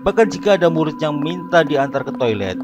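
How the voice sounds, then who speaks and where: A man's voice: fairly high pitch, expressive, a little fast, loud music behind the voice. A man narrating a scripted horror story.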